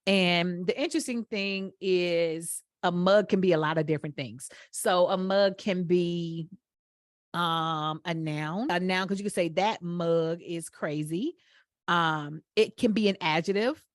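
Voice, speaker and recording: A woman's voice: on the low side, a little slow, dry recording, clean studio sound. A woman from Atlanta with a Southern accent, co-hosting a podcast in casual chat, playful and laughing as she explains with examples. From Atlanta, USA. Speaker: podcast co-host.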